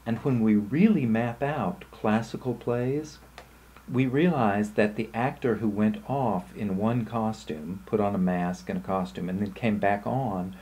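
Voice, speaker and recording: A man giving an explanatory slide lecture in short, measured phrases with pauses. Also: on the low side, warm tone, slightly rough.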